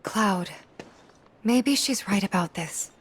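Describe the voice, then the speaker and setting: A woman's voice: medium pitch, animated, takes it slowly, a little hiss or hum. An actress playing an earnest, concerned young woman in a video game. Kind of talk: dramatic game cutscene acting.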